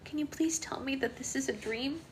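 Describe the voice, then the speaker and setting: A woman's voice: high voice, moderate pace, dark tone, slight background noise, voice pushed up, lightly acted. A woman voicing a young bride who pleads and sobs hysterically in a storybook reading. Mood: hysterical pleading. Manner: pleading, sobbing.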